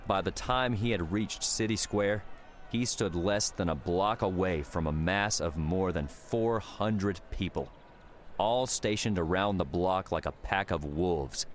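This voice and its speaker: A man narrates a story in a low-pitched voice. It is a scripted, dramatic audiobook read with faint noise behind it. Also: a little slow.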